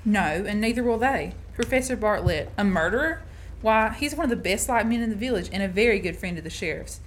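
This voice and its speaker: A woman's voice: mid-range voice, animated, fairly quick, lightly acted. A woman acting a feisty, skeptical young woman in a radio play, prim and challenging. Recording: noisy student radio play recording.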